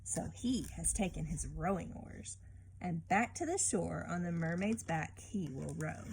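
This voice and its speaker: Expressive woman reading aloud, noisy recording. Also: fairly low pitch, a little slow, creaky. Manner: expressive storytelling, often asking the listener questions. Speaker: children's Bible lesson teacher reading aloud. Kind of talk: picture-book read-aloud and informal teaching to children.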